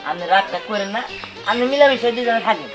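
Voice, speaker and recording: A woman playing a chatty neighbor who hands out advice. Her voice is somewhat high and she talks fast. Faint music plays behind her. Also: lively intonation. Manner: advising, chatty. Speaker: neighbor giving advice in a comic drama. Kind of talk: comic village drama acting.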